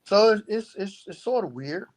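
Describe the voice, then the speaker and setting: A man's voice: sits high, expressive, normal speed. A middle-aged man speaking as an interview guest in a casual, reflective way. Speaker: interview guest, founder of a youth organisation.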